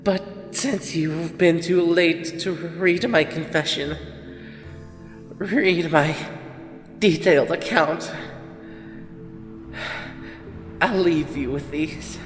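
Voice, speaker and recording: Slow, echoing old murderess. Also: female voice, deep voice. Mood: remorseful, heavy. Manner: slow, dramatic confession with halting stammers. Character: elderly grandmother confessing murderer, obsessive, bitter, unravelling. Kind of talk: dramatic monologue in audio drama. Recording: echoey long reverb with music behind.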